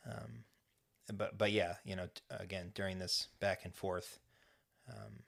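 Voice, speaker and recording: A man's voice: fairly low pitch, fairly even intonation, unhurried, vocal fry, a bit bright. A man co-hosting a fan podcast, recapping an episode in casual chat with lots of restarts and fillers. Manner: rambling, many restarts and fillers. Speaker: podcast co-host.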